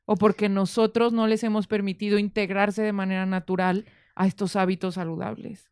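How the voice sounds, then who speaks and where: A woman's voice: mid-range voice, level tone, a little fast, darkish tone, clean tone, no echo, clean studio sound. A woman with a Mexican accent hosting a conversational interview, speaking in an informal, reflective way as she works toward her questions. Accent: Mexican Spanish. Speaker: podcast host.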